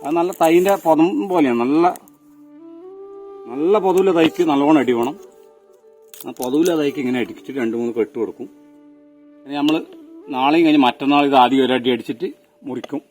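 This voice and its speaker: A man with a somewhat high voice speaks in a Kannur accent from northern Kerala. He explains his working trade informally, as a tapper showing how it is done. Instrumental music plays clearly behind him. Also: a little fast, pauses often, darkish tone. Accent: northern Kerala (Kannur) Malayalam. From Kannur region of Kerala, India. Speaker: toddy tapper. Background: instrumental background music.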